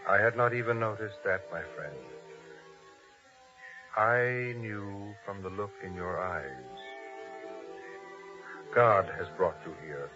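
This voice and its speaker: A man's voice: lowish voice, slow, pauses often. A man playing a devout, earnest guardian of a holy stable in a 1950s radio drama, speaking with reverence and pleading. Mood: gentle, solemn. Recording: muffled vintage radio drama recording, music behind.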